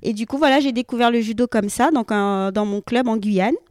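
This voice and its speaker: Young woman from French Guiana, athlete guest. Higher voice, clean and smooth, hesitant with fillers, dry close sound. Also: brisk, a little mellow, dry recording. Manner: hesitant with many fillers, laughs often. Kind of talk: casual interview answers.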